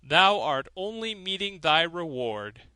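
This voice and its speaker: A man's voice: fairly high pitch, big pitch swings, slow pace, sharp tone, smooth, no room sound, studio quality, voice pushed up, heavily acted. A man voicing a merry, cunning countryman in a fairy tale, with a hearty, bold manner. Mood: smug.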